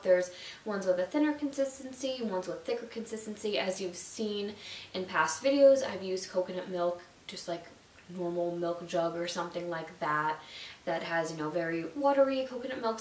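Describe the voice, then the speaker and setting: A young woman with a slightly nasal, stuffy voice, chatting casually to camera about nutrition, full of "like" and "you know.". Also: lowish voice. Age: young adult. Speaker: YouTube nutrition vlogger. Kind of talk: casual explainer talking to camera. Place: indoors at home, seated on a sofa. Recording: roomy echo, distant mic, faint hiss.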